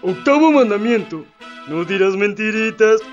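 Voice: mid-range voice, animated, normal speed, lightly acted. A performer playing a cynical, mock-pious preacher in a radio play, intoning commandments with solemn mockery. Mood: sanctimonious, cynical. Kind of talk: radio theatre acting. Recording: dull sound with clear music behind.

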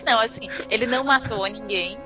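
A Brazilian woman with a somewhat high voice talks informally as a podcast panelist. She is halting, often starting a phrase over. Faint music sits behind her. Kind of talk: casual chat.

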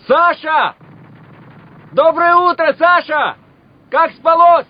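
An actor playing an excited, cheerful young male Soviet artillery officer, talking in animated exclamations. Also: very high-pitched, slow, highs cut off. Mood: excited, bantering.

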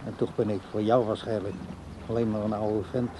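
A man acting in a radio play as an insistent, needy old man who talks haltingly to a much younger woman. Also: lowish voice, flat delivery, warm tone, a little hiss or hum, natural voice. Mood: pleading, resigned. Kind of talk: naturalistic radio play dialogue.

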